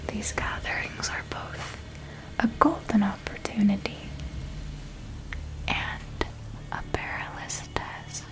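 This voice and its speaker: A woman playing a seductive, menacing vampire mentor in a whispered ASMR roleplay, deliberate and coaxing with a veiled threat. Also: mid-range voice, close to an everyday voice, poor audio. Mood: coaxing, intriguing.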